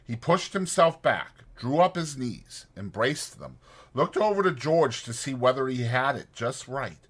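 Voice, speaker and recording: A man reads literary fiction as an audiobook narrator. His voice is husky and forceful, with deliberate, expressive phrasing and a very lively pitch. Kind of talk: dramatized scripted narration of a literary novel.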